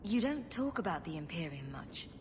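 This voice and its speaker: Wry rogue heroine, noisy backdrop. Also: female voice, fairly low pitch, sing-song, moderate pace. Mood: curious, wry. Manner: wry, inquisitive. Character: wry, sarcastic female rogue adventurer, inquisitive. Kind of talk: video game voice acting.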